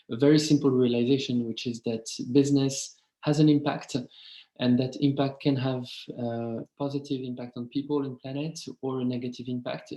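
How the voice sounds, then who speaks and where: A man in his thirties speaks English with a French accent, telling his career story over a video call. He hesitates often and talks in a mellow, fairly monotone voice. The sound is roomy and echoey. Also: medium pitch. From France. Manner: hesitant, many ums and uhs. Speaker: webinar speaker.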